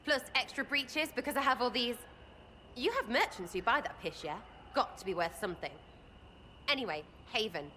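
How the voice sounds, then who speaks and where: An actress playing a cheeky, irreverent lowborn woman archer who talks in crude, mocking, rambling banter. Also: animated, normal speed, sharp tone, slight background noise.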